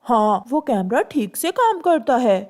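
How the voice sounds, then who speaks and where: A woman voicing a steady, helpful bird uncle in a children's cartoon, measured and authoritative. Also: a little high. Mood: calm, official. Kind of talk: Hindi children's cartoon dialogue.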